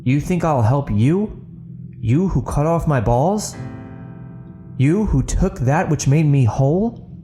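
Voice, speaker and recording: A man's voice: a little high, animated, slow, long pauses, mellow, soft background music, voice pushed up. A man voicing a bitter, unhinged male prisoner in a dramatic audio book, with erratic, mocking emphasis. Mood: mocking, indignant.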